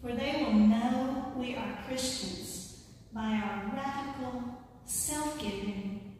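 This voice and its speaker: Middle-aged lady preaching slow and careful, kinda high voice, mic far off, lots of chapel echo. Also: darkish tone, slight background noise. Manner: measured, earnest preaching with rhetorical questions. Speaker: lay preacher. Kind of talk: sermon. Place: small chapel with high ceiling. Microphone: lectern mic from a distance. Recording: distant mic, echoey hall.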